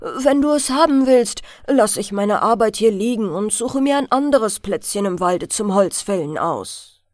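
A poor woodcutter from a fairy tale, played by a woman reader, humble and careworn. He speaks earnestly, with lively rises and falls, in a dry, close recording. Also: mid-range voice, a little slow, dry recording, close to an everyday voice. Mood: apologetic. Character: poor, worried, good-hearted male woodcutter and father.

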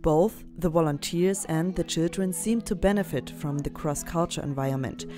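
A woman narrating a documentary in a measured, read-aloud manner. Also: sits low. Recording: music bed under the voice.

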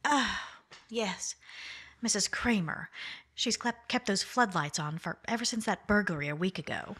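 A woman playing a cool, practical accomplice in an old-time radio crime drama, speaking with quiet urgency. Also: mid-range voice, unhurried, slightly rough. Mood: hushed, calm. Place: indoors at home, video call setup.

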